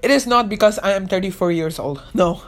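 An eighteen-year-old woman hosting her own podcast in casual, rambling chat, full of fillers and restarts, earnestly insisting she means what she says. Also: medium pitch. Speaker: podcast host and YouTuber.

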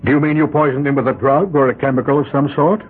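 An actor playing a shrewd lawyer in a 1970s radio drama, calm and dryly ironic as he questions people. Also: mid-range voice, fast, slightly rough, lightly acted. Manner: calm, dryly ironic, cross-examines pointedly. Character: shrewd mainland lawyer, cool and probing. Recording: tinny lo-fi 1970s radio broadcast.

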